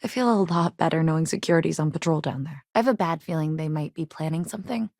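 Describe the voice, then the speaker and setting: Game role: earnest, plain-spoken woman innkeeper in a rough sci-fi underground. Lowish voice, creaky edges, dry studio sound. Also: fast, darkish tone, no room sound. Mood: calm, earnest. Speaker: video game character voice. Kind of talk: game dialogue lines, conversational.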